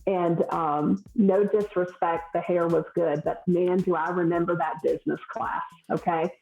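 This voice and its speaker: A woman with a Southern US accent, a hairstylist talking as a podcast guest in an earnest, halting way full of restarts. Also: lowish voice, steady tone, creaky, darkish tone, reverberant, muffled recording, soft background music. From Southern United States. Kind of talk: podcast conversation.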